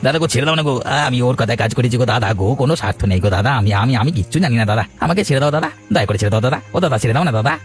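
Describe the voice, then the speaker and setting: A man's voice: mid-range voice, animated, racing, hardly any pauses, soft background music, natural voice. A man voicing a vengeful, cowardly village schemer in a cartoon. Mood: pleading. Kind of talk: Bengali cartoon voice acting.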